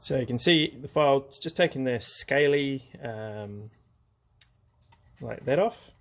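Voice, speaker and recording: A man's voice: mid-range voice, unhurried. A man giving a casual, hands-on craft demonstration, explaining each step in an informal, laid-back way. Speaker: craft tutorial host.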